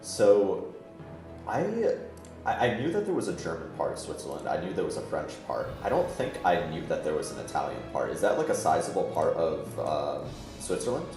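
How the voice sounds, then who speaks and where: A man with a very deep voice hosts an esports match. He commentates casually and chattily, in an unhurried, laid-back way. The sound is roomy and echoing, with music playing behind him. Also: very animated. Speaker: esports tournament host and commentator. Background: background music.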